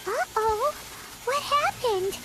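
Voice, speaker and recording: A woman voicing a tiny, childlike, excitable fairy companion in a video game, with a cute squeaky voice. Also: takes it slowly, heavily acted, rough recording. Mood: worried. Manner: squeaky, cute, speaks in third person. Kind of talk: cartoonish video game dialogue. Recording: game music behind.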